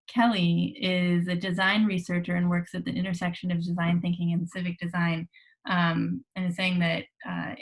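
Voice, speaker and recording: A woman moderating an online workshop panel, chatty with lots of fillers, speaking over a video call. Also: fairly low pitch. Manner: chatty, many fillers and restarts. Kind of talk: casual panel discussion.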